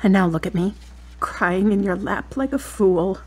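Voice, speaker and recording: Casting: actress for adult daughter losing her mother, radio drama, medium-pitched, tender and plaintive. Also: measured pace, husky. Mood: grieving. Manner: tender, plaintive, talking softly to a loved one. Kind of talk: audio drama acting.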